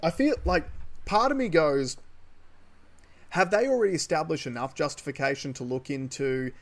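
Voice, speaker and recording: A man's voice: fairly high pitch, normal speed. Australian man discussing politics. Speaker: podcast co-host. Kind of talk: casual opinionated political discussion.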